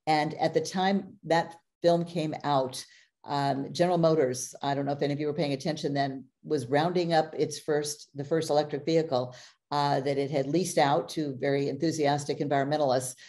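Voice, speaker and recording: A middle-aged woman hosting an interview over a video call, chatty and quick to laugh. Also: low voice, fairly even intonation, warm tone. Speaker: host.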